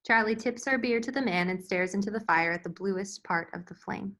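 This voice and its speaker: Bright-voiced woman narrating a script. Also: mid-range voice, a little fast. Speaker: narrator reading stage directions. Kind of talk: scripted narration at a table read.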